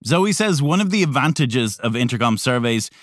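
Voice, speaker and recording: A man's voice: mid-range voice, very animated, normal speed, no echo, studio quality. Male podcast host reading scripted narration.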